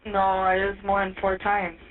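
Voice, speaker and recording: A woman's voice: mid-range voice, monotone, moderate pace. A woman in a casual, blunt phone conversation from jail, heard over a narrow phone line. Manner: blunt, profane. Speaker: inmate phoning from jail.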